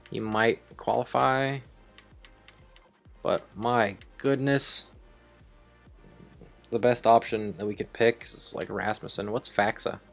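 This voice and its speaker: A man's voice: medium pitch, vocal fry. A man hosting a video game series, thinking aloud in casual, rambling commentary with some offhand swearing. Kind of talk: casual improvised gameplay commentary.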